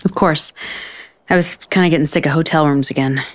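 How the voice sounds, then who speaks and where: An actress playing a wry, foul-mouthed author on a book tour, dry and conversational in a naturalistic audio drama. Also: female voice, low-pitched, fairly quick, lightly acted. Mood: warm, teasing.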